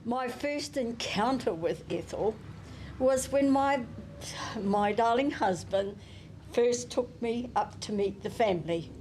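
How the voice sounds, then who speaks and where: A woman with a New Zealand accent giving a eulogy at a memorial service, reading a prepared tribute and a poem gently into a handheld microphone. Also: fairly high pitch, a little hiss or hum. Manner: gentle and unhurried, with soft chuckles. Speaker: family member giving a eulogy.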